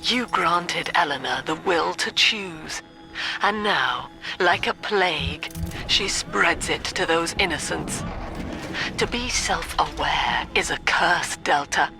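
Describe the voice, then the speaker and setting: A cold, imperious cult matriarch from a video game. She speaks very slowly and precisely at a medium pitch, sermonizing with severe, bitter authority. Music plays clearly behind her. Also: expressive, heavily acted. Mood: condemning, grave. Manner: measured, articulate, sermonizing, severe. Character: cold, imperious middle-aged female cult leader, embittered mother. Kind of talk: dramatic video game villain monologue.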